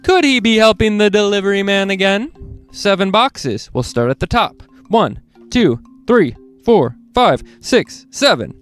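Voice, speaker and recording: A man hosting a children's read-along, reading picture books aloud in an animated way and speaking directly to young listeners. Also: high voice, measured pace, smooth, soft background music.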